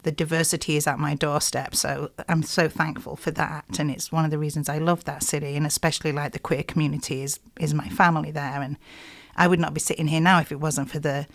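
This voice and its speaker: A woman's voice: deep voice, flat delivery, fairly quick. A middle-aged woman with a Yorkshire accent, a singer-songwriter chatting in a radio interview with lots of "you know" and "like". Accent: Yorkshire English. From South Yorkshire, England.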